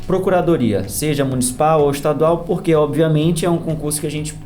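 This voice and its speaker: A man's voice: on the high side, fairly quick, a little mellow, faint music behind. A young man with a Brazilian accent giving casual, explanatory answers as a podcast guest. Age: young adult.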